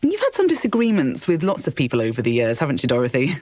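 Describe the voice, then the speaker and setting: An actress playing a cool female radio interviewer, smoothly probing and provocative, in a radio play. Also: very deep voice, wildly swooping pitch, quick pace, darkish tone, full character voice. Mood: coolly needling.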